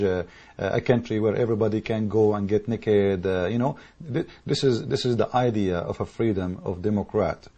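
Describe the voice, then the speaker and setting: Male commentator, English, dark mellow husky voice, heated monologue with repeated restarts. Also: lowish voice, muffled recording. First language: Arabic. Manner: rhetorical questions, repetitive restarts, emphatic. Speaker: political commentator. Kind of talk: impassioned monologue to camera.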